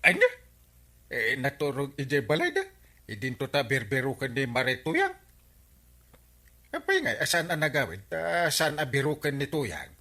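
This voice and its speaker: A man's voice: mid-range voice, huge pitch swings, moderate pace, long pauses, pushed and bright, full character voice. A man playing an incredulous, nosy villager in a radio comedy drama, speaking with an Ilocano accent.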